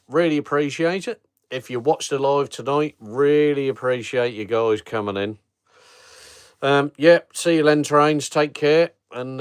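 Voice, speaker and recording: A middle-aged man with a Norfolk accent, hosting a relaxed live chat for viewers of his travel channel, rambling with ums and chuckles. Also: mid-range voice, dry recording, clean studio sound. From Norfolk, England. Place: home at night, streaming on a phone. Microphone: phone held in portrait mode.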